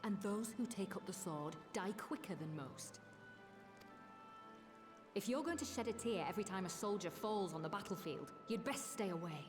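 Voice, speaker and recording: Game role: hardened, sneering young female fighter played by a woman, wide-swinging pitch over prominent score. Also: animated, moderate pace, lightly acted. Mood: scornful. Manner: mocking, blunt. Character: bitter, scornful young female soldier, hardened realist. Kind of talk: dramatic video game cutscene acting. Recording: orchestral music behind the voice.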